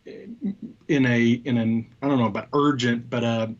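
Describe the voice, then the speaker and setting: A man co-hosting a casual tech discussion, talking in a halting way as he thinks aloud. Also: mid-range voice, fairly even intonation, highs cut off. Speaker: co-host of a tech show.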